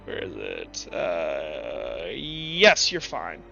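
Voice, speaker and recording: A man's voice: low-pitched, wildly swooping pitch, extremely slow, vocal fry, pushed and bright. A man running a tabletop roleplaying game as game master, explaining rules and scenes in a casual, rambling way with restarts. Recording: dull lo-fi voice with music behind.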